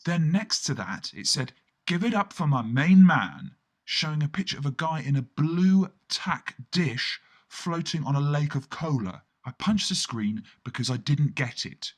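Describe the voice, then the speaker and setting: A man narrates a surreal scripted radio monologue in the first person. His voice sits a little high and swings widely in pitch. The delivery is theatrical, breaking into colloquial asides and exclamations. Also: lively intonation, measured pace.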